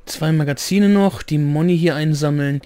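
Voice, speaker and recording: A man with a slightly hoarse voice giving rambling, chatty commentary while playing a game, clearing his throat now and then. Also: on the high side, moderate pace, mellow, no room sound. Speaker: let's play gamer. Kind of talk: casual gameplay commentary.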